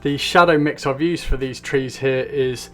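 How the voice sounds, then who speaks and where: Friendly English painting tutor. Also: male voice, mid-range voice, fairly even intonation, normal speed, pushed and bright, soft background music. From England. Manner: friendly, explanatory.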